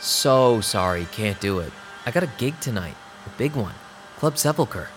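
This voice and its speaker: An actor playing a young vampire DJ who narrates an audio drama in a casual, streetwise and sarcastic way. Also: male voice, on the low side, lively intonation, normal speed, lightly acted. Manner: casual, wry. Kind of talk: first-person audio drama narration and dialogue. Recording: music behind the voice.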